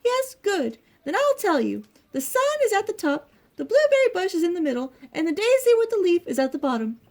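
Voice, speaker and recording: A woman's voice: very high-pitched, big pitch swings, fairly quick, darkish tone, pitched above the performer's own voice, full character voice. A woman voicing a small, earnest female mouse in a storybook, explaining things emphatically. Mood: proud, explaining.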